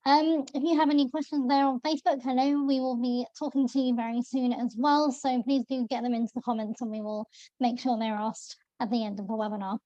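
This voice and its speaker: A woman's voice: on the high side, fairly even intonation, a little fast, mellow, no room sound. A woman moderating a webinar, introducing the host and inviting the audience to send questions in an upbeat way. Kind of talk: event introduction. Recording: dull, dry lo-fi call audio.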